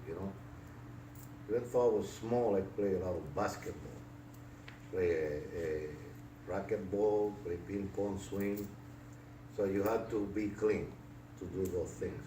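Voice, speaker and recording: A man in his sixties with a Puerto Rican accent tells his life story in an interview, halting, with many fillers and restarts. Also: low-pitched, darkish tone. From Puerto Rico. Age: 60s. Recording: echoey room, distant mic, noisy.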